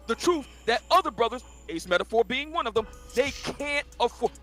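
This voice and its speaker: A man's voice: extremely high pitch, animated, normal speed. A man in a played clip giving a boastful, preachy monologue. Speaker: dating commentator. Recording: clip with faint music.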